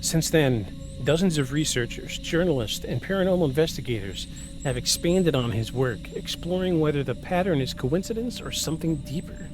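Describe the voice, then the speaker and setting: A man's voice: fairly high pitch, animated, normal speed, over music. A man in his forties hosting a podcast, moving between scripted narration and joking, self-deprecating casual chat. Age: 40s. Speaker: podcast host.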